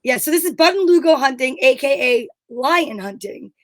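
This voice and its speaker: Woman, high voice, dry close sound, upbeat, enthusiastic, casual live-show banter. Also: expressive, moderate pace, fairly bright tone, no room sound. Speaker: co-host of an online comedy show.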